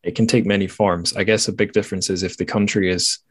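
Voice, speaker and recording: Low, dry-sounding Scottish man. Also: level tone, fast, slightly rough, no echo. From Scotland. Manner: rambling, thoughtful, self-correcting. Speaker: podcast host. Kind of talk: casual reflective conversation.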